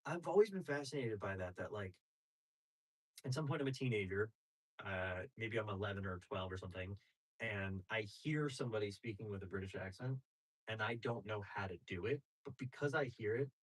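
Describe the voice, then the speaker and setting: Husky, animated comedian in podcast chat. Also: male voice, on the low side, brisk, rough recording. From Greek family. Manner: animated, bursts into voices. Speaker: comedian guest.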